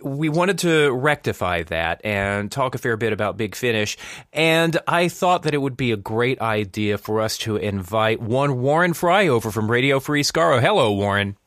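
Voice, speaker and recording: A man hosting a podcast in a conversational style, musing in a measured way with deliberate pauses. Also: mid-range voice, animated, a bit bright, dry recording, clean studio sound. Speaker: podcast host.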